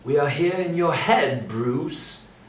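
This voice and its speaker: An actor at a table read playing a mocking, sinister masked villain. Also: male voice, on the high side, lively intonation, slow pace. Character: sinister male villain in a sack mask, mocking. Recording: echoey room from far off with faint noise.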